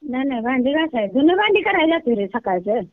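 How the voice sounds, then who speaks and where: A woman's voice: high voice, big pitch swings, a little fast, no echo. An older woman with a rural Kolhapur Marathi accent chatting casually on the phone in a blunt, earthy, colloquial way. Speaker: prank call recipient, video creator. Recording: narrow, tinny phone line.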